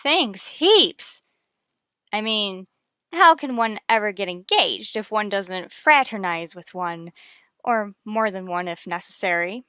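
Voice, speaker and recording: Wry, bright-voiced wisecracking schoolteacher. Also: female voice, medium pitch, takes it slowly, smooth, no room sound, studio quality. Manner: sardonic, deadpan quips. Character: wry, wisecracking female high school English teacher. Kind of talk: vintage radio comedy acting.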